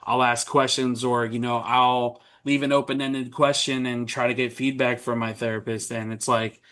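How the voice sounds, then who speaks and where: A man with an ordinary middle-pitched voice hosts a relaxed interview. He rambles through long turns and restarts his questions partway through. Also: moderate pace. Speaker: podcast host. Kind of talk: casual interview chat.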